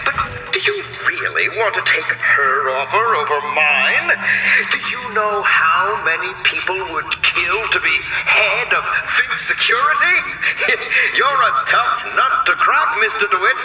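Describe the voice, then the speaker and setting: A man playing a slick, gleeful, scheming businessman boss in a video game, pompous and theatrical like a showman, over a loudspeaker. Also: sits high, animated, unhurried, heavily acted. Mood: smooth, coaxing. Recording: echoey distant loudspeaker with music.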